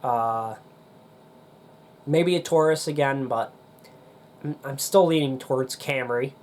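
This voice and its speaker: A young man with a stuffy, nasal voice from a cold, rambling casually to camera with many pauses and fillers. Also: medium pitch, a little hiss or hum. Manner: rambling, hesitant with many ums. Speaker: demolition derby YouTuber.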